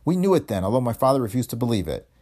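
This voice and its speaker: A man's voice: medium pitch, sing-song, racing, dark tone, pitched above the performer's own voice. A man voicing an indignant, loyal young woman in a hardboiled detective story, earnest and defensive. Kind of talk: hardboiled detective audiobook dialogue.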